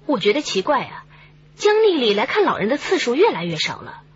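Female, earnest detective, radio drama, highish and very breathy, lively intonation. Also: brisk, exaggerated voice. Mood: puzzled, earnest. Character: earnest female detective reporting her observations. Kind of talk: Chinese radio drama acting. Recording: dull, muffled radio drama audio.

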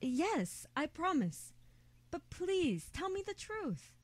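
Woman acting a caring, lively-voiced young woman. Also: very high voice, takes it slowly, dry recording, full character voice. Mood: reassuring. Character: caring young woman, gently fussing and concerned. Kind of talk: visual novel voice acting.

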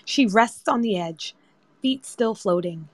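A woman's voice: medium pitch, lively intonation, slow, no echo. A woman narrator reading screenplay directions as scripted narration. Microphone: remote audio chat app.

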